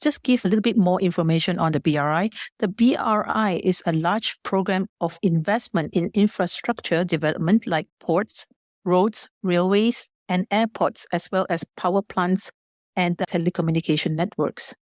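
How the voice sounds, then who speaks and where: A woman's voice: fairly low pitch, moderate pace, darkish tone, dry recording, studio quality. A woman from Malaysia giving formal, prepared, explanatory answers as a business guest on a podcast.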